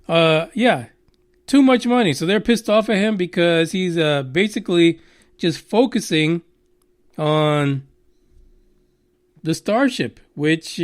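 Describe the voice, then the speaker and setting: Man, podcast host, fairly high voice, dry close sound, profane, rambling. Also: no room sound. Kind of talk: casual solo podcast rant.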